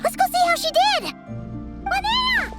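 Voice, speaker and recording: A woman voicing a tiny, excitable, childlike fairy companion for a video game, squeaky and exclamatory. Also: a little slow, over music, full character voice. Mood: excited, eager. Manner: exclamatory, speaks of self in third person.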